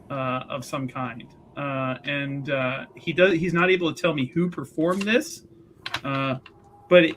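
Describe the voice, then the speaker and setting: A man improvising casual, halting and wordy narration as a player in a tabletop roleplaying game. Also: fairly high pitch, fairly bright tone, a little hiss or hum.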